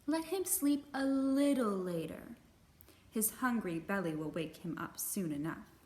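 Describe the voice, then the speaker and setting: A woman voicing a patient mama bear in a storybook, soft and coaxing. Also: medium pitch, lively intonation, measured pace, roomy sound, lightly acted.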